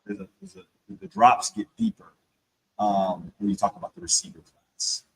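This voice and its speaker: Animated man, lively pitch, slangy. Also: normal speed, creaky, low-quality recording. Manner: animated, slangy, says bro a lot. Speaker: draft show co-host. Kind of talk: casual mock draft chat.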